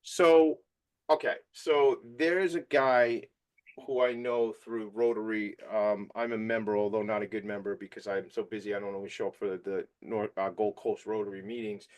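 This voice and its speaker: A man hosting a podcast interview, playful and excitable, breaking in with bursts of enthusiasm. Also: lowish voice, normal speed. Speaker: podcast host. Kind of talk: casual interview chat.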